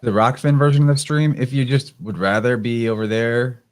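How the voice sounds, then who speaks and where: A man's voice: mid-range voice, fairly quick. Male livestream host, mid register, loose conversational delivery. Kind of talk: casual conversational hosting.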